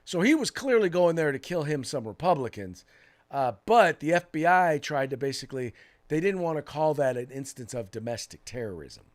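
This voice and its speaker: A man's voice: on the high side, very animated, normal speed. An American man co-hosting a political talk show, giving opinionated commentary in an emphatic way, repeating phrases for stress.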